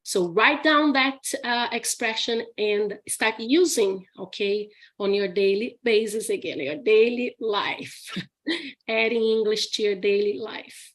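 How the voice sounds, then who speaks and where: A woman's voice: fairly high pitch, takes it slowly. A woman with a Brazilian accent, an English teacher answering questions in a live Q&A, chatty and laughing often, with many restarts and "okay?" checks. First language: Portuguese. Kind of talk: live Q&A session.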